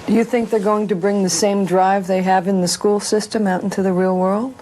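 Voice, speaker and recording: A woman's voice: medium pitch, steady tone, fairly quick, warm tone, slight background noise, low-quality recording. A woman working as a television news reporter, interviewing and narrating in a broadcast style.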